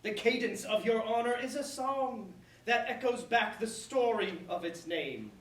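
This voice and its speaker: Man acting a slow, grandiose conquering ruler. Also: very high voice, expressive, fairly bright tone, exaggerated voice. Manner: grandiose, measured, declamatory. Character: smooth-talking conquering male ruler addressing a crowd. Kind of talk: staged verse play oration. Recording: distant echoey room, background noise.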